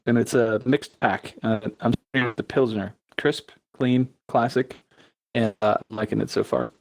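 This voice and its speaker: A man's voice: mid-range voice, steady tone, vocal fry, poor audio. A man from Denmark co-hosting a gaming stream, chatting playfully and teasing his friends. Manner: playful, teasing, slow when describing things. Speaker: stream co-host. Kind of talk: casual gaming chat.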